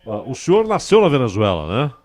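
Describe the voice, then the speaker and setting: A man's voice: mid-range voice, wildly swooping pitch, fast, darkish tone. A man with a Brazilian accent, speaking as a radio host conducting an interview.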